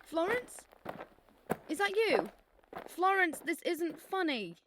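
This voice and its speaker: A woman playing a bossy, sardonic, excitable schoolgirl in an audio drama, with a theatrical, sarcastic manner. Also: very high-pitched, slow pace, background noise, exaggerated voice. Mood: alarmed.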